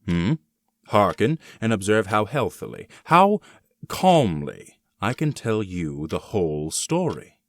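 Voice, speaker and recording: A man performing a nervous, mad murderer who insists he is sane, in a theatrical, gleefully cunning gothic horror reading with drawn-out emphasis. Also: on the low side, big pitch swings, no room sound, studio quality, exaggerated voice. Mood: agitated, insistent. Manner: theatrical, drawn-out emphasis, swinging from hushed to fervent.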